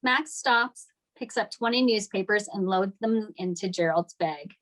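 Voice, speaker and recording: A woman's voice: medium pitch, unhurried, a bit bright. A woman narrating the stage directions of a screenplay at a table read.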